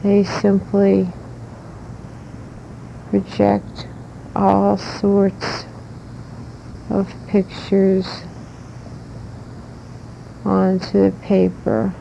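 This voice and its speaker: A woman giving a spiritual lecture in deliberate, halting phrases, instructing the listener like a teacher, on a muffled old cassette recording. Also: completely flat delivery, gravelly, mellow. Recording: muffled noisy old cassette tape with rumble.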